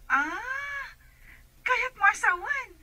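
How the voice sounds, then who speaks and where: A woman's voice: very high-pitched, animated, drawn-out words, background noise, exaggerated voice. A woman acting in a radio comedy as a chummy, nosy female friend with an Ilocano accent. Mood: breezy, teasing.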